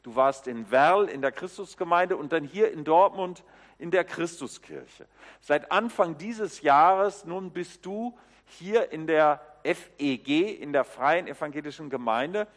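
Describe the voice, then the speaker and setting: Halting man speaking in a church hall. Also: fairly high pitch. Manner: friendly and halting, with many fillers. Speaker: church elder introducing a new member. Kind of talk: informal address to a congregation.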